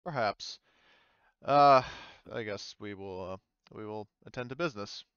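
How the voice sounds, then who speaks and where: Polite, cautious low-voiced scientist played by a man. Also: a little slow, fairly bright tone, clean tone, no echo, muffled recording. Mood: hesitant, guarded. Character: polite, cautious male scientist escorting cargo.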